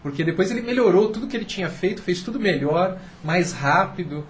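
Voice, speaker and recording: A man's voice: high-pitched, lively intonation, brisk, slight background noise. A man with a Brazilian accent offering unscripted reflections in a discussion.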